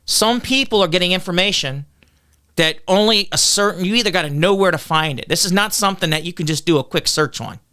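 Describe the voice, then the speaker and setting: Defensive candidate guest: man with a loud, clear, brisk voice. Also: sits high. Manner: combative, argumentative, lectures on legal details. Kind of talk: defensive podcast interview.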